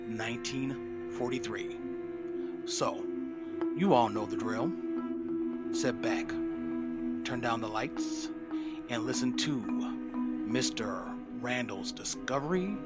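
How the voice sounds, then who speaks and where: A man informally introducing old radio plays as the host of a show. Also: mid-range voice. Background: loud music.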